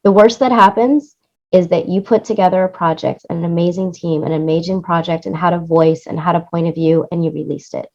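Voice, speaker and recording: A woman's voice: fairly low pitch, steady tone, brisk, a little mellow, dull audio. A woman in her late thirties talking casually in an interview, emphatic and repeating her points for stress. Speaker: interview guest, music supervisor and producer.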